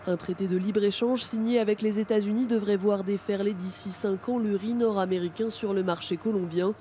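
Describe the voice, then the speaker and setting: A woman voices a scripted TV news report in a measured, even delivery. Her tone is warm and mellow, with faint ambience behind her. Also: medium pitch, slight background noise. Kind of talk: scripted voice-over narration.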